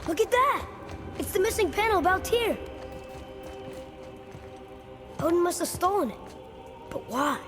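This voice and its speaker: High-voiced, headstrong young boy. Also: lively intonation, a little fast. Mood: curious. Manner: plain-spoken, eager, questioning. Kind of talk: dramatic video game acting. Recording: loud music behind the voice.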